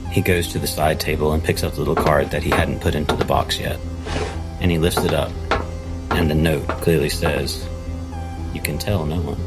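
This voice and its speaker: A man playing a tabletop role-playing game, deliberately narrating what his character does, phrase by phrase. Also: rumbling low, little pitch movement, over loud music.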